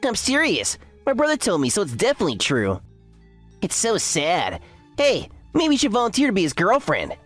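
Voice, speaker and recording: A woman's voice: mid-range voice, wildly swooping pitch, normal speed, husky, faint music behind. A woman voicing a mocking, condescending male university student in an anime-style story dub. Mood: smug, mocking. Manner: sneering, condescending. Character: smug, mocking young man, a university underclassman.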